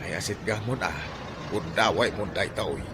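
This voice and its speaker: A man's voice: low-pitched, normal speed, noisy background, close to an everyday voice. An actor with an Ilocano accent playing a teasing, middle-aged male confidant in a radio drama. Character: older male confidant, slow, teasing, wry.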